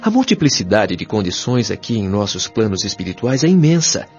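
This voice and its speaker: A Brazilian man playing a kindly, patient spirit guide in an audio drama, explaining things in a measured, didactic, carefully enunciated way. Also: lowish voice, sing-song. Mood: explanatory. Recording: dull lo-fi audio, music behind.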